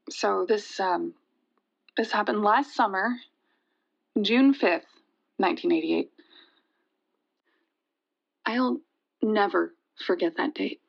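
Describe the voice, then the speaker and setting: A woman playing a nervous caller to a radio show, haltingly telling a frightening memory. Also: medium pitch, gravelly, highs cut off, full character voice. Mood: uneasy, haunted. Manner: hesitant, halting. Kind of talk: halting personal storytelling, audio drama.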